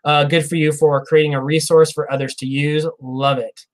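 A man's voice: fairly high pitch, little pitch movement, normal speed. A man in his thirties hosting a casual live Q&A stream, reading viewer comments in a chatty, upbeat way with frequent laughs, recorded on a lapel mic in a small room. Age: 30s. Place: small room, plain wall behind. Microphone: clip-on lapel mic.

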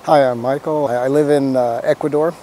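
A man in his fifties, an emergency physician, speaking in a halting, thoughtful way outdoors. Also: mid-range voice, fairly even intonation, dark tone, a little hiss or hum. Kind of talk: testimonial interview. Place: outdoors by a log cabin.

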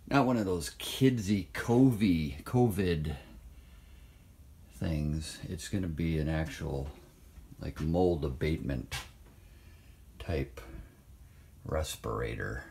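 A man's voice: sits low, creaky, mellow. A man giving a casual, offhand walkthrough as he films inside an empty trailer on his phone. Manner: offhand, chatty, thinking aloud. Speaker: vlogger filming a trailer renovation. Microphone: handheld phone camera. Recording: echoey bare room, faint hiss.

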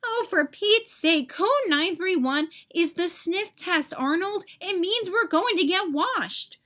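Woman doing a bossy, high-voiced germ. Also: animated, pushed and bright, exaggerated voice. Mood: exasperated. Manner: brisk, scolding, commanding. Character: bossy, exasperated germ snapping out orders.

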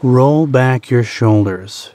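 A man narrating a guided meditation in a soothing, deliberate manner, pausing between short phrases. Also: mid-range voice, dark tone.

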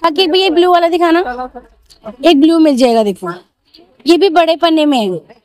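A woman's voice: very high-pitched, lively intonation, normal speed, darkish tone. A woman vlogging from an outdoor street market on a handheld phone, chattily repeating each price for her viewers. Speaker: shopping vlogger. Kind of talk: market walkthrough vlog.

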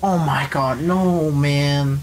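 A man's voice: on the high side, steady tone, slow. A man giving a casual, slangy live reaction to a scary video, pleading with the screen in short repeated phrases. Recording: noisy with mains hum.

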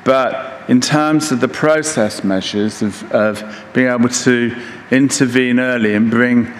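A man in his sixties giving a conference keynote lecture in a measured, halting way, pausing mid-phrase. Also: medium pitch. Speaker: keynote speaker. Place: large conference hall stage, indoors. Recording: echoey hall with reverb, background noise.

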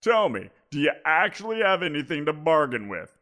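A man voicing a greedy, teasing giant magical creature in an audio roleplay, talking in a playful, drawn-out way. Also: fairly high pitch, very animated, pushed and bright. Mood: smug.

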